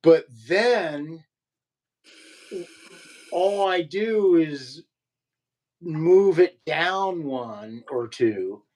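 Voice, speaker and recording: A man with a low voice in casual conversation, rambling and halting with many fillers and restarts. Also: lively intonation, darkish tone. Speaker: podcast co-host. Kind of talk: casual chat about guitar.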